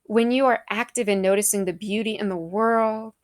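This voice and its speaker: A woman's voice: mid-range voice, fairly even intonation, moderate pace, dry recording. Young woman doing a devotional talk, earnest and conversational, kinda reflective, close dry sound. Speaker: speaker giving a faith reflection.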